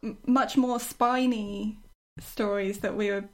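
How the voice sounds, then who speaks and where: A woman's voice: mid-range voice, steady tone, slow pace, low-quality recording. A woman chatting casually and reflectively as a writer looking back on a show, in an agreeable, conversational way. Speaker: writer discussing their own show.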